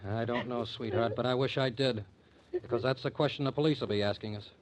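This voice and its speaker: An actor playing a protective, excitable booking agent boyfriend, slangy and emphatic, with an American accent in old-time radio drama style. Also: male voice, mid-range voice, a little fast, very noisy, close to an everyday voice. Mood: explaining.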